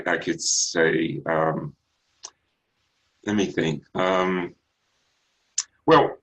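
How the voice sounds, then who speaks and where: A middle-aged man from Texas with a bass baritone voice, a singer answering interview questions in an unhurried, reflective way with many ums and restarts. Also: a bit bright, poor audio. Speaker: singer guest.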